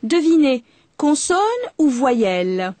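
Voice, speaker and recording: A woman narrating a lesson slowly and clearly from a script. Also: high voice, animated, background noise. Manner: slow, clear.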